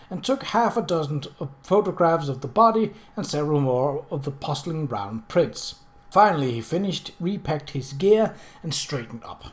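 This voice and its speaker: A man reading a novel aloud as scripted narration, in a dramatic and expressive way. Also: fairly high pitch. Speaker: audiobook reader.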